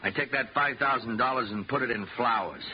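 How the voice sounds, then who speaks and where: A man's voice: medium pitch, a little fast, natural voice. A man plays a blunt American tough in old radio drama. The voice is mid-range, slangy and brusque. The recording is dull, with faint hiss. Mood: gruff, warning. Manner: brusque, colloquial.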